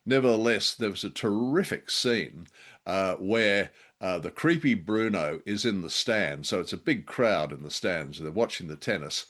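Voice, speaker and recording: A man's voice: deep voice, a bit bright. A man co-hosting a podcast, musing and a little hesitant as he casually reviews radio dramas.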